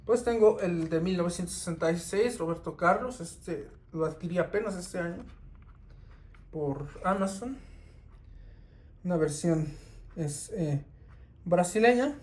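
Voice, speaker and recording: Man, record collector, casual show-and-tell, unhurried, halting. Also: sits high, a little mellow. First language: Spanish. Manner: unhurried, with many fillers and restarts.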